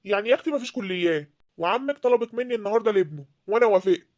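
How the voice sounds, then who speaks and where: A woman's voice: mid-range voice, animated, racing, darkish tone, natural voice. A woman voicing a stern, domineering middle-aged Egyptian father who talks harshly and will not be argued with. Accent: Egyptian Arabic. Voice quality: harsh, commanding, dismissive. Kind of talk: dramatized family scene.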